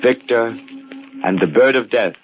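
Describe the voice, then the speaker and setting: An actor playing the solemn, mysterious narrator of a radio horror anthology, speaking portentously with deliberate, heavy pauses. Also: male voice, medium pitch, natural voice. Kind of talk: dramatic 1940s-style radio horror narration. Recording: muffled old radio broadcast. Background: music.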